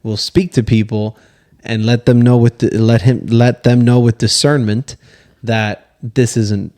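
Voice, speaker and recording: A man's voice: medium pitch, steady tone, unhurried, dark tone. A man co-hosting a casual faith podcast, talking in a preachy, exhorting yet conversational way. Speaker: podcast co-host.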